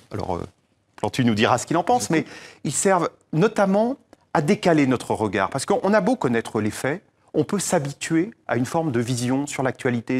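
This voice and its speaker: An interviewee answering reflectively, hesitating at first before explaining. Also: medium pitch.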